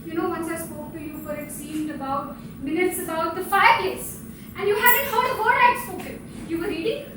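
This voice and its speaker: A woman acting a chatty, gently needling wife in a live stage play, in a conversational, teasing way. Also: very high-pitched, moderate pace. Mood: gently insistent. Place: indoor theatre stage, live performance. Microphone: distant microphone. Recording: distant, very echoey theatre, background noise.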